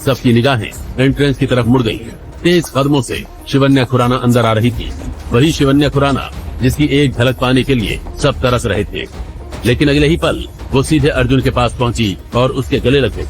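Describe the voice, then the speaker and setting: Guy narrating a dramatic audio story, medium pitch, bit of music behind. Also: fairly even intonation, moderate pace.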